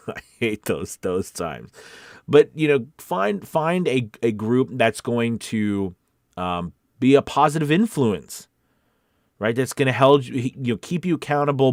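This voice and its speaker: A man's voice: mid-range voice, no echo. Casual, upbeat American man, close dry sound. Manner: upbeat, encouraging, informal. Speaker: voice-over coach and host. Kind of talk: casual livestream chat, reading and answering viewer comments.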